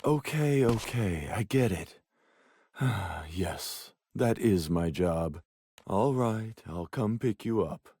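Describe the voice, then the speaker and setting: An actor playing a sleazy, seductive fallen angel villain in a video game, teasing and theatrical. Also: male voice, on the low side, animated, takes it slowly, mellow, husky, no echo, full character voice. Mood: coy, amused. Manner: drawling, teasing, mock-sweet. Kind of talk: dramatic video game voice acting.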